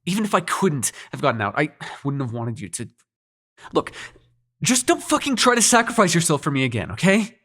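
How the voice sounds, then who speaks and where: A man's voice: on the high side, sing-song, fairly quick, fairly bright tone, no room sound, studio quality. A man playing a cocky, sarcastic demon prince with a tender side in an intimate audio roleplay, speaking casually with teasing and swearing. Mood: flustered, defensive. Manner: casual, sweary, teasing, hesitant when sincere.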